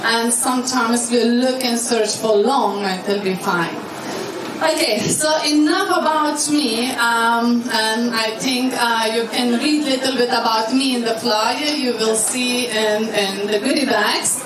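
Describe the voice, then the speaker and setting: High-voiced woman from Lithuania speaking. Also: a little slow, over music. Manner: chatty, asks the audience questions. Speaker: guest speaker. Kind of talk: speech to an event audience. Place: large hall at a fashion show. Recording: echoey hall from far off with loud crowd.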